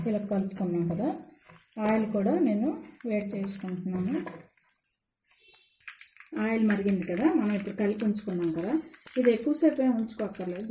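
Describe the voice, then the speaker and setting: An older woman giving homely, unhurried step-by-step instructions at her kitchen stove. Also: medium pitch, warm tone, a little hiss or hum. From India. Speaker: home cook. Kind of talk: cooking demonstration voice-over. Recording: echoey kitchen with sizzling pan. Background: frying and sizzling.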